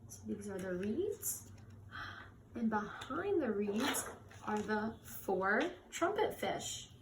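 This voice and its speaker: A woman reading a children's story aloud with expressive, drawn-out emphasis and short asides. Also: medium pitch. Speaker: read-aloud host for children. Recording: echoey room with faint noise.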